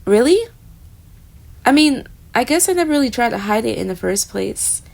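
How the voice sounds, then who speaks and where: A young woman voicing a flustered, jealous roommate in a romantic audio roleplay, talking straight to the listener and trailing off into soft murmurs. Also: on the high side, animated, normal speed, vocal fry. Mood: giddy.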